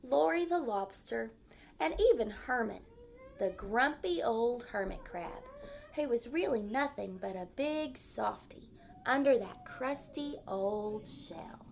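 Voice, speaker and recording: A woman with a fairly high voice and a touch of vocal fry leads storytime like a teacher. She takes her time, using simple words and clear phrases meant for small children. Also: very animated, takes it slowly, darkish tone, slight background noise. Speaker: teacher reading to young children. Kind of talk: storytime lesson with read-aloud and simple explanations.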